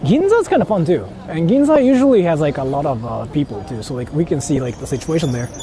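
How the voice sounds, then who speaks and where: A man's voice: fairly high pitch, sing-song, fast, dark tone. A man with as his language, chatting casually and swearing in slang as he livestreams out in the city. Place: outdoors on busy city streets. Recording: noisy outdoor street with traffic. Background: cars and urban street noise.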